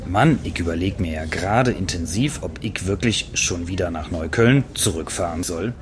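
A man acts as a chatty Berlin local musing in a comic radio play. The pitch is low and the accent is plainly Berlin, colloquial throughout. There is clear ambient noise behind the voice. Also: moderate pace, close to an everyday voice. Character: chatty Berlin local, musing, wide awake on weekend night.

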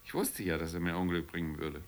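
A man's voice: deep voice, steady tone, fast talker. An actor playing a weary, middle-aged middle-class man who grumbles and muses wryly in a naturalistic radio drama. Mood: wry reminiscence.

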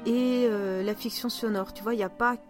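A woman hosting a podcast in casual chat, enthusiastic and halting, repeating words as she goes. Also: on the high side, fairly even intonation, a little mellow, faint music behind. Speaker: podcast host.

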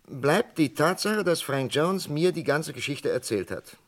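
Urgent, lively-pitched psychiatrist. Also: male voice. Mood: insistent. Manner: urgent, sarcastic, rhetorical, halting. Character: insistent, impassioned male psychiatrist pleading for a condemned man. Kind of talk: radio play acting.

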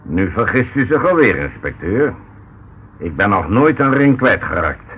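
Surly evasive ship captain, lowish voice, old radio play with some hiss. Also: male voice, sing-song, measured pace. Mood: cool denial. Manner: gruff, defensive. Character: surly, evasive male ship's captain, shady suspect. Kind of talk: radio drama acting. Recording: dull, noisy old radio play recording.

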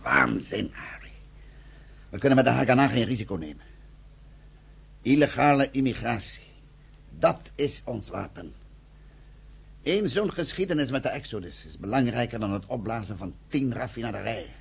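An actor playing a weary, grave underground army commander in a radio drama, with a husky, gravelly voice. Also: male voice, mid-range voice, lively intonation, moderate pace, pauses often, exaggerated voice. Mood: troubled, firm.

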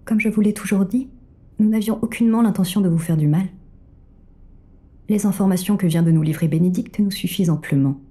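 An actress playing a courteous, mysterious female operative in an audio thriller, speaking in a formal, measured way. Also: mid-range voice, warm tone, close to an everyday voice. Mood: soothing, condescending.

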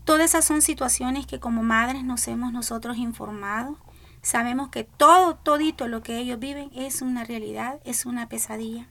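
Salvadoran activist mom, middle-aged, earnest pleading testimony, fairly high voice. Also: female voice, normal speed, gravelly, bright, forceful tone. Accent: Salvadoran Spanish. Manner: earnest, pleading, repeats "¿verdad?". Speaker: mother of a missing migrant, activist. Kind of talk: radio panel testimony.

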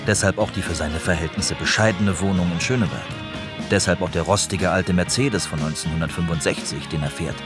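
A man's voice: sits low, fairly quick, music in the background. A man narrating a scripted radio documentary.

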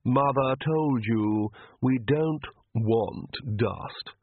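A man playing a suave, condescending young vampire aristocrat in an audiobook, speaking slowly and with polish. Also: mid-range voice, lively intonation, slightly rough, no echo, phone-quality sound. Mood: icy, irritated.

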